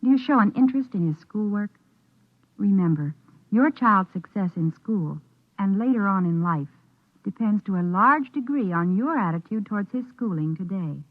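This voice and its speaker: An American woman reading a scripted 1950s radio public service announcement. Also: mid-range voice. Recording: tinny, narrow old radio recording.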